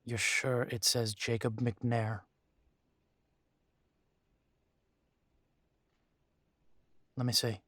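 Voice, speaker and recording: Werewolf boyfriend for audio drama, male actor: mid-pitched, unhurried and halting, devoted yet weighed down by family estrangement, very dry sound. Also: level tone, bright, forceful tone, dry recording. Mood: concerned, puzzled. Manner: halting, sighing, tender. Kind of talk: intimate roleplay audio drama.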